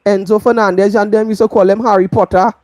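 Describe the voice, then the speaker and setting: A woman's voice: mid-range voice, steady tone, fast talker, darkish tone, no room sound. A Trinidadian woman hosting a live football match review, stressing her points by repeating them. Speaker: football channel host.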